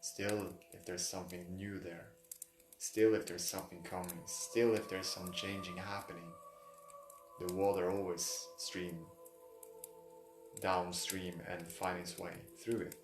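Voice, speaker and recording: A man leading a guided meditation in halting, repetitive phrases of non-native English. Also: sits low, flat delivery. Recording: roomy echo, music behind. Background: music.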